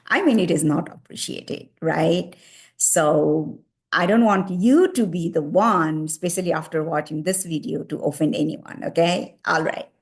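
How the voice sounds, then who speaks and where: A woman's voice: sits low, measured pace. A woman in her thirties from Nepal with a Nepali accent, giving casual advice and leaning often on "you know" and "like.". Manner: heavy use of fillers "you know" and "like".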